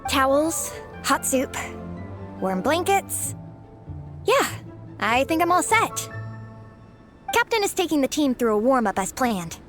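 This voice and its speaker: A woman's voice: high voice, very animated, slow pace, pauses often, a bit bright, full character voice. A woman voicing a diligent, practical young team helper in an anime game, with a busy, methodical manner. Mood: focused. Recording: faint music behind.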